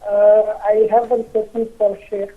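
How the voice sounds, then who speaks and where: A man from India phones in to a live show, his voice thin and tinny down the line. He speaks in a flat, even tone. He pauses often, searching for his words. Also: high voice. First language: not Arabic. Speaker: caller.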